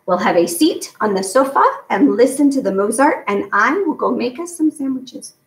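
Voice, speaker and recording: A woman voicing a kindly, eccentric older doctor in a storybook, speaking in a measured, formal, gently directive way. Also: a little high, lively intonation, voice pushed up. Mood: reassuring, directive. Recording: echoey room, distant mic.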